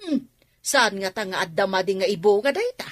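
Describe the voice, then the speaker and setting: A woman's voice: medium pitch, very animated, a little fast. A woman playing a skeptical, scolding mother in a radio drama, with a reproachful, questioning manner.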